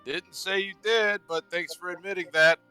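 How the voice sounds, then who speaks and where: A man voicing a teasing, boastful young warrior in an improvised comedic fan dub, terse and deadpan. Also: high-pitched, normal speed, clean tone, faint music behind.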